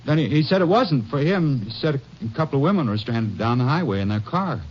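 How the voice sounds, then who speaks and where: Stammering anxious soldier, an actor in the role; animated pitch, dull old radio sound. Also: male voice, a little fast, lightly acted. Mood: nervous. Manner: stammering, nervous, defensive. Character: nervous young male soldier, evasive and anxious. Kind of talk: 1950s radio drama acting. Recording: muffled old radio broadcast with faint hiss.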